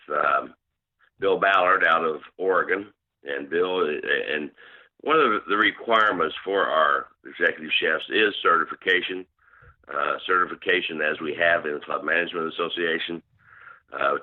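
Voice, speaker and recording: A man answering interview questions as a club management consultant, explaining steadily with many fillers. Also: low voice. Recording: tinny phone line.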